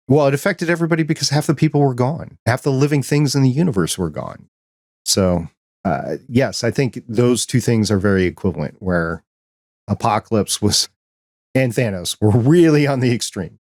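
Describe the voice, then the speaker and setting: A man's voice: mid-range voice, animated, normal speed, a little mellow, no echo, studio quality. A man with an American accent talking casually as a podcast co-host, rambling and acting out imagined reactions as he makes his points. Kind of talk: casual discussion and commentary.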